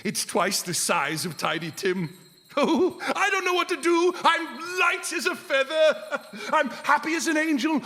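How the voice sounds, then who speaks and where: A man's voice: very high voice, animated, a little slow, bright, forceful tone, full character voice. A man playing a reformed old miser in live radio theatre, stammering, exclaiming and full of joy and gratitude. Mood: giddy, overjoyed. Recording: reverberant hall, live stage performance.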